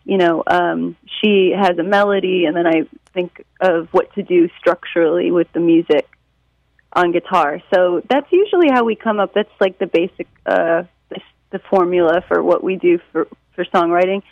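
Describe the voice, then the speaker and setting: Creaky-voiced American woman chatting. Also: fairly low pitch, normal speed, no room sound, highs cut off. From Detroit, United States. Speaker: musician and songwriter being interviewed. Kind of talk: casual chat, interview answers.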